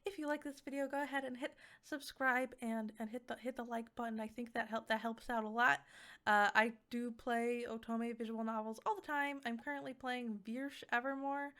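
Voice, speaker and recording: A woman's voice: a little high, fairly even intonation, moderate pace, fairly bright tone. A woman giving a casual, unscripted game review in an enthusiastic, giggly, rambling way. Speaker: video game reviewer and streamer.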